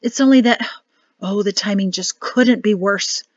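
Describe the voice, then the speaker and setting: A woman with a rough, crackling voice voicing an anxious, exasperated wife who talks tense and impatient. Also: medium pitch, unhurried, no room sound, highs cut off, lightly acted.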